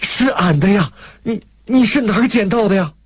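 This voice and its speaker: A man's voice: high voice, huge pitch swings, normal speed, a little mellow, husky, voice pushed up, heavily acted. A man voicing a flustered middle-aged rural woman from in an audiobook story, exclaiming with worry or gratitude. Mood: delighted, grateful. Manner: flustered, exclaiming, effusively grateful. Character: distraught middle-aged rural Shandong woman who lost her ticket.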